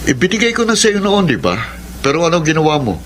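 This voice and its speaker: A man's voice: fairly high pitch, very animated, fast, slight background noise. A man playing a weary, earnest young boyfriend in a Filipino radio drama, defensive when pushed, with a Filipino accent. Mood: exasperated, defensive. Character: weary, exasperated young boyfriend telling his love story. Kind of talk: Tagalog radio drama acting, letter narration. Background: background music.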